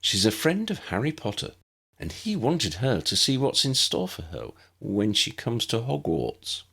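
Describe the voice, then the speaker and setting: A confident teenage girl prefect, put on by a male storyteller, friendly and chatty. The voice is lifted well above his own and very lively, with a husky edge. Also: mid-range voice, a little slow, pitched above the performer's own voice, heavily acted. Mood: polite, eager. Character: cheerful, confident teenage schoolgirl prefect showing a newcomer around.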